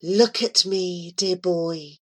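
A woman's voice: fairly low pitch, expressive, drawn-out words, a little mellow, husky, heavily acted. A woman voicing a commanding false angel in a storybook, a villainess whose kindness covers a vicious temper. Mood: enticing. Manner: theatrical, commanding. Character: false angel villainess, seductively kind, turning viciously furious.

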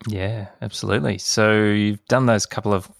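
Gravelly-voiced male podcast host. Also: lowish voice, fairly even intonation, normal speed, no room sound, clean studio sound. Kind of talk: casual interview chat.

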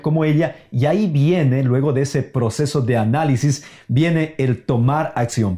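Brief: male co-host, warm and mellow voice, calm lecturing style of motivational talk. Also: medium pitch. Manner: steady, explaining tone with many fillers. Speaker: podcast co-host.

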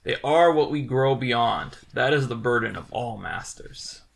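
A man co-hosting a podcast, chatting casually about films in an animated, rambling way, often acting out quotes. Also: medium pitch, measured pace, creaky. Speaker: podcast co-host. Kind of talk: casual film chat.

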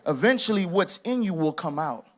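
A man of Haitian heritage preaching a sermon. His delivery is emphatic, builds through shouted repetitions, and is broken up by laughing asides. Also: high voice, lively intonation, normal speed, a little hiss or hum.

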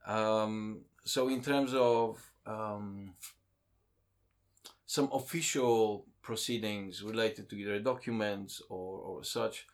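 Man, thirties, casual interview guest, halting and thoughtful. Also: on the low side, steady tone. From Poland. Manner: hesitant, many ums and restarts.

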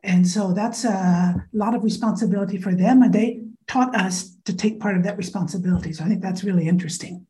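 A woman's voice: mid-range voice, brisk, few pauses, dark tone. An American woman in her fifties, a guest speaking thoughtfully and frankly in an interview, with many small fillers. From United States (Iowa). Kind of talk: conversational interview.

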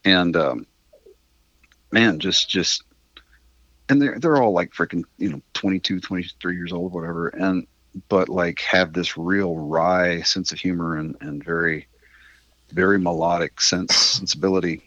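A middle-aged man with a husky voice, chatting candidly and casually as an interview guest, rambling a little and swearing mildly. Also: deep voice, a little slow, fairly bright tone, dry recording, dull audio. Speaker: interview guest, independent musician.

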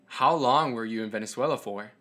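A man's voice: medium pitch, a little fast, sharp tone. An American man hosting a podcast, chatty and upbeat, introducing his show and interviewing a guest. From United States. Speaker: podcast host.

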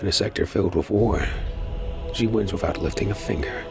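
A man playing a wary pirate who narrates his own tale in a science fiction audio drama, measured and brooding. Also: deep voice, gravelly, music in the background. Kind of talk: scripted first-person narration in audio drama.

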